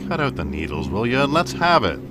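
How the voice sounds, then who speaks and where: A man's voice: mid-range voice, sing-song, brisk, heavy background noise, lightly acted. A man playing a sneering, slangy, combative Hollywood publicity man in a 1940s radio drama style. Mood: subdued, shaken.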